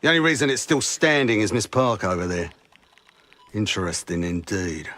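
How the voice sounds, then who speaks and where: An actor with a British accent playing a gruff, sardonic SAS commander in a war video game. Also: male voice, on the low side, big pitch swings, normal speed, sharp tone. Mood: brusque, dry. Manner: brusque, sardonic, clipped orders. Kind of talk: video game cutscene acting.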